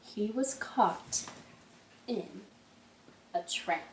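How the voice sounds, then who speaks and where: A woman hosting a storytime for young children, reading aloud expressively with drawn-out phrases and questions to her listeners, recorded indoors at home. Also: mid-range voice, a little hiss or hum. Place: indoors, in a living room. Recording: roomy, echoey home room sound.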